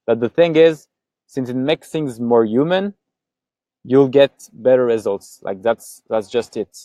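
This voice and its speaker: A man's voice: mid-range voice, expressive, a little slow, warm tone, no room sound. A man from Paris with a French accent, presenting his own results in a loose, chatty webinar talk full of fillers and restarts. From France.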